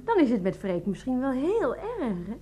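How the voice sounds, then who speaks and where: A prickly, scornful wife in a satirical radio play. Her voice is high and very animated. She speaks with pointed, tart sarcasm over faint background noise. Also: normal speed. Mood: probing, concerned.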